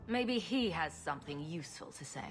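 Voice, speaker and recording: A woman's voice: low-pitched, lively intonation, moderate pace, soft background music. An actress playing a wry female adventurer hero who speaks in a dry, sardonic way.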